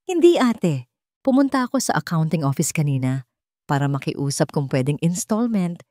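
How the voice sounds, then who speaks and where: A woman with a Filipino accent voicing an excited, grateful young student, a gushing younger brother in an audio story. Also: low voice, moderate pace, dry recording, studio quality. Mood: overjoyed, excited. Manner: exclaiming, gushing with joy.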